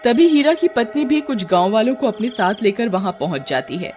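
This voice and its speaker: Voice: mid-range voice, sing-song, fairly quick, darkish tone. A narrator telling a scripted horror story in the third person. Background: music.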